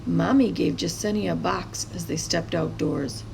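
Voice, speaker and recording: Woman reading a kids book out loud, really gravelly fry in her voice, bit of background noise. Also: fairly low pitch, moderate pace, rough recording. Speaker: storybook reader. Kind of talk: expressive children's story read-aloud.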